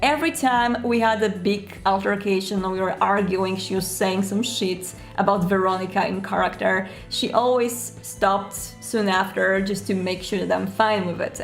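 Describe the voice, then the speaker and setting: A woman speaking informally and expressively, telling a personal story and giving advice as a video host, with dramatic emphasis on key words. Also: mid-range voice. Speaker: roleplay player and video host. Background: music.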